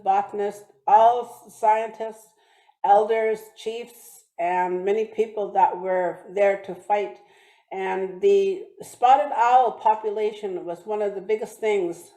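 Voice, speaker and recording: A woman from British Columbia gives a talk to a congregation. She speaks haltingly, restarting often and filling pauses. Her voice is mellow and dark, and the room rings with echo. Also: medium pitch. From British Columbia, Canada. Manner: halting, many restarts and fillers. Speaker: guest speaker. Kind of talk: talk with slides. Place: church hall or online meeting.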